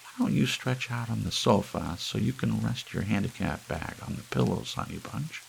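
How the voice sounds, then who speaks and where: A man's voice: lowish voice, moderate pace, gravelly, slight background noise, pitched above the performer's own voice, low-quality recording. A man voicing a simple-minded, cheerful housewife with an artless, folksy, chatty manner, in an audiobook story. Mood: fond concern.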